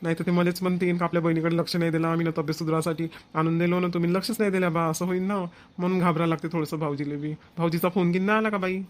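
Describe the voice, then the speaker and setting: Guy doing a young cartoon son, super fast high voice, bit naggy, vidarbha marathi accent. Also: flat delivery, natural voice. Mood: dutiful. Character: young married son, dutiful, mildly nagging. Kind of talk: amateur family cartoon voice acting.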